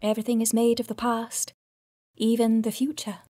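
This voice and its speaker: An actress playing a wry, knowing woman who comments deliberately in an audio drama. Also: on the high side, flat delivery, mellow, no room sound, studio quality, natural voice.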